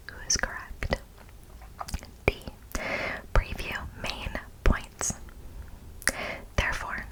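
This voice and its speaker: An American woman doing ASMR, reading an informative speech in a hushed, deliberate manner with playful asides to the listener. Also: poor audio. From United States. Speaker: ASMR host.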